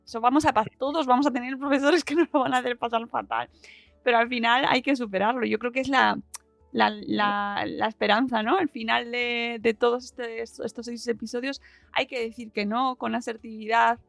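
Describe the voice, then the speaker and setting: A woman's voice: a little high, steady tone, normal speed, gravelly, a bit bright, no room sound, faint music behind. A woman with an accent from Spain hosting a casual podcast interview, speaking informally and expressively and appealing to her listeners.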